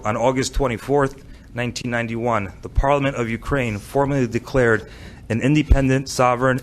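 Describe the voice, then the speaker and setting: A man reading a formal proclamation aloud as a township official at an indoor public meeting. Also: mid-range voice. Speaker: township business administrator. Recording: public meeting room, faint noise.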